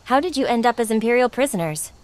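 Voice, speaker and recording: A woman's voice: a little high, expressive, fast, lightly acted. A woman's synthetic voice playing a brash, blunt, sassy, foul-mouthed adventurer in a fantasy game. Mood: curious. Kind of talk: AI-voiced game character dialogue.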